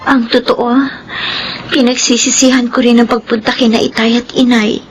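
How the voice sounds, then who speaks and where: A woman's voice: sits high, a bit bright, slight background noise, low-quality recording. A young woman playing a hurt but determined daughter in a radio drama, earnest and plaintive. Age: young adult.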